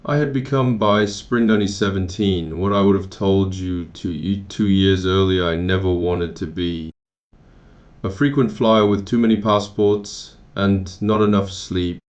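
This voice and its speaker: A man from Taiwan narrating his own memoir in a measured, matter-of-fact way, with occasional restarts. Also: low voice, steady tone, dull audio. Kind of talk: first-person memoir narration.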